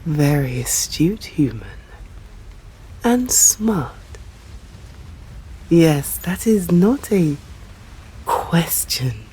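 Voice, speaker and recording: A woman with an English accent playing a stern, courteous female vampire in an ASMR roleplay, speaking formally and deliberately in a breathy voice that often drops to a whisper. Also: sits low, sing-song, dark tone, slight background noise, exaggerated voice, poor audio. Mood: measured, hypnotic. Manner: slow, deliberate, formal, menacing.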